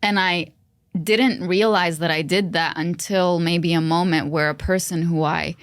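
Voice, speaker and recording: Low-voiced woman, earnest podcast co-host. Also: steady tone, measured pace, clear, resonant tone, dry recording. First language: Arabic. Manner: reflective, earnest storytelling. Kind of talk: casual podcast conversation.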